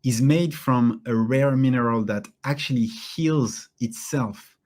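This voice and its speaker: A man hosting an online course, closing with a casual, halting talk full of fillers and restarts. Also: medium pitch, a little mellow. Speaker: course host.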